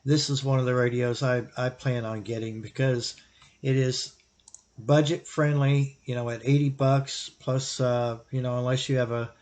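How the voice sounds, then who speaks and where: A man with a mid-pitched voice talks through a product recommendation as a hobbyist reviewer. He explains things informally and thinks aloud as he goes. His phrasing is halting and broken into short pieces. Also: fairly even intonation, darkish tone. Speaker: YouTube radio hobbyist and reviewer.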